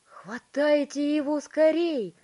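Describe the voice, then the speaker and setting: Woman playing an excitable, drawn-out eccentric male inventor. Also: mid-range voice, voice pushed up. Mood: commanding. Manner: emphatic, drawn-out exclamations.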